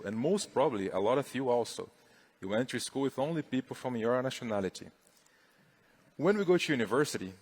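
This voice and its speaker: A middle-aged Brazilian man with a Brazilian Portuguese accent, giving a chatty, upbeat conference talk on stage. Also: on the low side. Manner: upbeat, chatty, filler-heavy. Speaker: conference speaker.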